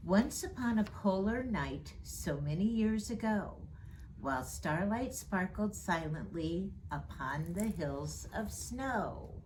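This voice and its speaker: Slow, expressive woman reading a storybook. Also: medium pitch, a little hiss or hum, rough recording. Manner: slow, expressive, rhyming read-aloud delivery. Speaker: storyteller reading as Mrs. Claus. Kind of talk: read-aloud children's storytime.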